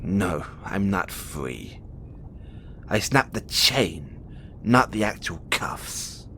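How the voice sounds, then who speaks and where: Casting: male actor as playful, flirtatious imprisoned experiment with imperial swagger, for audio roleplay. Swooping pitch, unhurried halting delivery, rebellious streak. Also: big pitch swings, bright, forceful tone, a little hiss or hum, full character voice. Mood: reluctant, weary. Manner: teasing, flirtatious, halting, with sighs and chuckles. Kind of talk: audio roleplay acting addressed to the listener.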